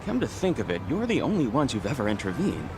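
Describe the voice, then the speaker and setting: A man's voice: medium pitch, wildly swooping pitch, fast talker. A man playing a polite, grateful restaurant owner in an English game dub, courteous and mild in manner. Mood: concerned. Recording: outdoor street noise behind.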